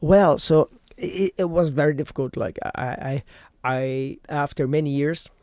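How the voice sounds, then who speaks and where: A man whose first language is Portuguese talks alone into a computer microphone for his podcast. His voice sits at an ordinary pitch with a dark, mellow tone, and the sound is close and dry. He rambles, corrects himself and stacks up fillers as he goes. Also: measured pace, no echo. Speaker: podcast host. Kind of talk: casual solo podcast monologue.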